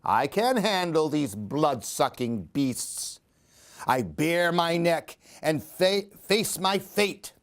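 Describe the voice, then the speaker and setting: A male storyteller reads for children in a high voice that swings widely in pitch. He takes his time, giving the rhymes a theatrical, singsong lilt. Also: expressive, takes it slowly. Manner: theatrical, singsong rhyming delivery. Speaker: storyteller reading aloud. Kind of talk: expressive children's story reading.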